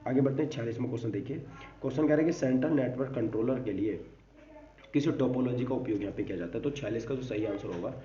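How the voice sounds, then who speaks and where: A man's voice: mid-range voice, quick pace, dark tone. A man teaching an informal exam-prep lesson, reading out quiz questions and answers and mixing in English terms. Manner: repeats catchphrases, mixes English terms into explanations. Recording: dull, distant, echoey room with faint noise.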